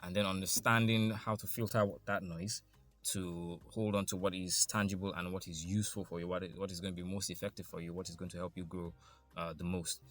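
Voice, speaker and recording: A man with a very low voice co-hosts a podcast. He talks in loose, casual chat, circling back and restarting sentences as he works out what he means. Soft music sits faintly under his voice. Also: little pitch movement, a little fast. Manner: rambling, restarts thoughts, thinks aloud. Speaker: podcast co-host.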